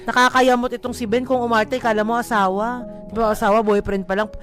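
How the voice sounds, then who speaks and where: A woman's voice: fairly high pitch, flat delivery, a little fast, faint music behind. A woman with a Filipino accent speaking as a radio co-host, reading and chatting in a conversational way. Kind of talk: casual bantering chat and first-person letter reading.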